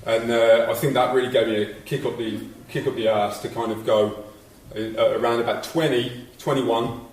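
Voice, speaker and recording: Relaxed Englishman in an echoey hall. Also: mid-range voice, normal speed, far from the mic. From England. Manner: relaxed, candid, with frequent ums. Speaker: guest lecturer. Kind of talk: casual talk about his career. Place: college hall.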